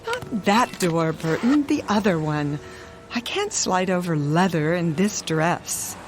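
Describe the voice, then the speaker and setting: A woman's voice: fairly low pitch, expressive, takes it slowly, over music. Imperious rich lady, swooping delivery. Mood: impatient. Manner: haughty, demanding, snappish. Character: imperious, vain, impatient older wealthy fashion woman. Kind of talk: radio drama acting.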